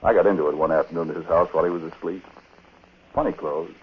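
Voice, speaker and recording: An American actor playing a chatty, world-weary husband who confides in the listener in slangy, folksy talk, in 1940s radio drama style. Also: male voice, deep voice, fairly even intonation, fast talker. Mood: casual, fond. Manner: colloquial, folksy, slangy, intimate direct address. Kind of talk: 1940s radio drama acting, confiding first-person narration. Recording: dull, hissy 1940s radio recording.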